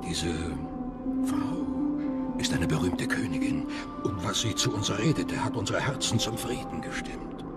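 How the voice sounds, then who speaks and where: Tiefer, würdevoller Stammesführer. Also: male voice, fairly low pitch, expressive, over loud music. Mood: solemn. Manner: slow, solemn, dignified. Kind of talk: radio drama acting.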